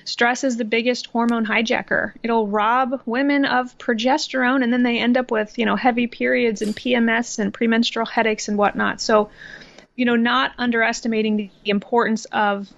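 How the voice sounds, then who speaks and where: A woman in her thirties from Iowa talks through how she treats patients, laughing lightly as she goes. Her voice sits a little high and has a gravelly fry. The remote podcast audio sounds dull and lo-fi. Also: steady tone, normal speed. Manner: explanatory, laughs lightly between points. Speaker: health practitioner guest. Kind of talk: podcast interview. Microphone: remote computer recording.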